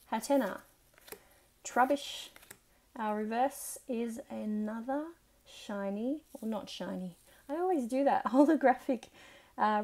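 A woman's voice: medium pitch, a little mellow. A woman giving casual, chatty commentary on a card opening, talking to herself and reading out names as she goes. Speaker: trading card collector and video host.

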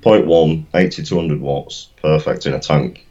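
A man's voice: rumbling low, measured pace, mellow, husky, lo-fi sound. A man in his thirties co-hosting a casual live stream, speaking emphatically with repeated words and British slang. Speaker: co-host of a vaping live show. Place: home room, indoors.

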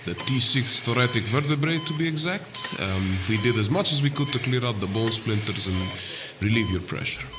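A man's voice: mid-range voice, expressive, brisk, natural voice. A man playing a brusque, proud military surgeon in an audio drama. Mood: matter-of-fact. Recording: loud music behind the voice.

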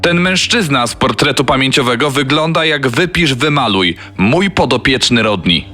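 A male probation officer from a radio drama. He has a bright, forceful tone at medium pitch, with faint music behind. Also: expressive, moderate pace. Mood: certain. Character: male probation officer identifying his charge. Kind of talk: acted line in audio drama.

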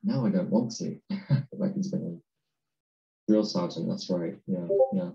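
A low, gravelly male voice with a dark, mellow tone, talking through his painting as he goes. The sound is a little dull and roomy, as if from a desk mic in a small room. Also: a little slow. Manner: relaxed, thinking aloud while painting. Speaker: digital art tutor. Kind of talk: live-stream painting commentary.

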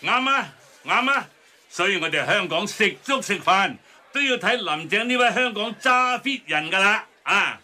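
A man's voice: high voice, expressive, moderate pace, sharp tone, exaggerated voice. An actor playing an earnest pro-establishment businessman in a satirical radio drama, speaking Hong Kong Cantonese. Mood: earnest, insistent.

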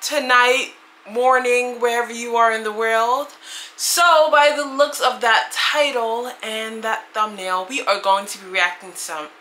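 A young woman hosts a reaction video in a bright, lively voice. She chats casually and takes her time, with clear noise in the small-room recording. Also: on the high side, low-quality recording. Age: young adult. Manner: animated, chatty.

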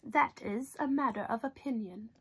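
A woman's voice: on the high side, normal speed, a bit bright, husky, rough recording. A woman voicing a stubborn, dramatic, whiny cartoon pigeon in a children's storybook read-aloud. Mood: defensive, stubborn. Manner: whiny, exaggerated, protesting.

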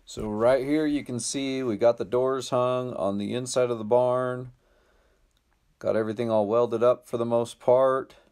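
A man's voice: medium pitch, steady tone, unhurried, a little mellow, smooth. An American man in his thirties talking casually to viewers in a laid-back, plain-spoken way, like a DIY vlogger. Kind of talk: casual vlog narration to viewers.

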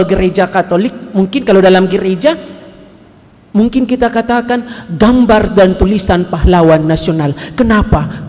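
A man in his thirties, a priest giving a lively homily at mass, animated and questioning the congregation, speaking into a headset mic. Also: high-pitched. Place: large church hall. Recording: long hall reverb.

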